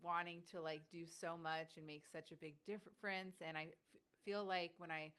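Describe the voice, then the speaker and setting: Young female podcast host, lowish smooth voice, chatty, light stutter. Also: flat delivery, normal speed. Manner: warm, chatty, stutters at times, many "like" fillers. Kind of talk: casual interview.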